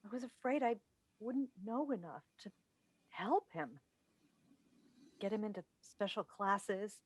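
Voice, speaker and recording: A woman's voice: mid-range voice, animated, measured pace, breathy edge. A woman playing a proud, defensive wife in a staged play reading, earnest in manner, recorded over a video call. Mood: anxious, confiding. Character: defensive, proud wife who hosts a talk show.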